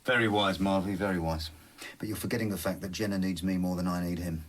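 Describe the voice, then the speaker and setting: A husky sardonic scientist. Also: male voice, low-pitched, steady tone, fast talker. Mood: scornful. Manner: sarcastic, dismissive. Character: sardonic male scientist leading tests. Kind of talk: radio drama acting.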